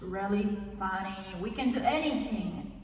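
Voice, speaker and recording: A young woman from Mongolia whose first language is Kazakh, chatting casually and haltingly as she searches for words. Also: medium pitch, animated, a little hiss or hum. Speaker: vlogger, international student. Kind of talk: casual chat. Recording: echoey room, mic at a distance.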